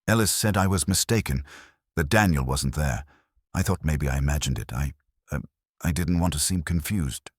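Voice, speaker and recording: A man plays a distraught, timid woman giving testimony in a detective audiobook. The voice is low and husky but lifts and wavers in pitch as it haltingly explains itself. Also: expressive, no echo, clean studio sound. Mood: confused, defensive. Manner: hesitant, halting, defensive. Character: timid, ashamed, distraught female witness. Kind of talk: audiobook detective story dialogue.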